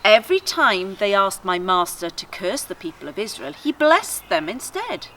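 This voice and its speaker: A sardonic female donkey played by a woman reader tells her tale with big swings of pitch and a bright, forward tone. She is chatty and aggrieved, in storybook style, over faint background noise. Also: normal speed. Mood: wry, amused. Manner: wry, chatty, expressive storytelling. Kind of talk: children's story read aloud.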